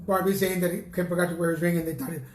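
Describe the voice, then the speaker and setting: A man's voice: sits high, steady tone, a little hiss or hum. Laid-back man in an echoey room. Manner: laid-back, halting, many restarts. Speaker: co-host. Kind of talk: casual chat.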